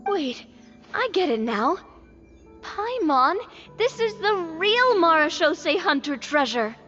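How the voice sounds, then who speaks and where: A woman's voice: very high-pitched, big pitch swings, slow, sharp tone, full character voice. A woman voicing a curious young adventurer in a video game, eager and inquisitive. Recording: muffled game audio with music.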